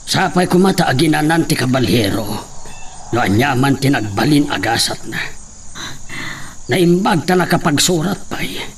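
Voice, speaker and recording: An actor in a radio drama playing a steady middle-aged father, grave and consoling. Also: on the high side, moderate pace. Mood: grave, reassuring. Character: steady, consoling father of a grown daughter, a laborer. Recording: faint noise with cricket-like chirping.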